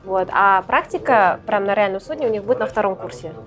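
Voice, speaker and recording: A woman's voice: mid-range voice, fairly quick. A woman working as an instructor explains her work to the camera in short, simple phrases with small hesitations. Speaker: maritime academy simulator instructor. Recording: music behind the voice. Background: clear background music.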